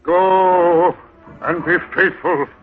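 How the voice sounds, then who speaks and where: An actor in a 1930s radio drama playing a cunning male beggar leader who poses as a god, in a commanding, measured way. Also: high-pitched, steady tone. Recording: muffled 1930s radio broadcast with music.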